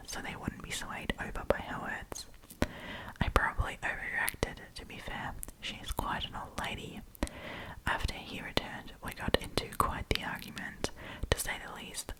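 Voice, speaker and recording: A woman whispering softly as an ASMR host, reading stories and chatting casually with listeners. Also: poor audio. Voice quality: soft unvoiced whisper.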